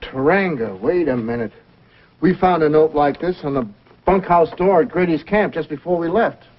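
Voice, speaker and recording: A man's voice: on the high side, moderate pace, dull audio, natural voice. A man acting an uneasy, plain-spoken frontier logger in an old-time radio adventure, sounding defensive and hesitant. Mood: puzzled. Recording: old band-limited radio recording.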